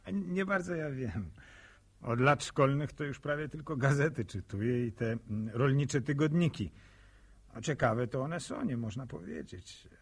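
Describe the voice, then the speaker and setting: Radio play role: earnest young country man, low voice, speech, folksy and stumbling over words. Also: animated, measured pace, husky. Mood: hesitant, thoughtful. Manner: hesitant, stammering, plain-spoken folksy speech. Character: shy, curious young farmer, unschooled and earnest. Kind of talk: naturalistic radio drama acting.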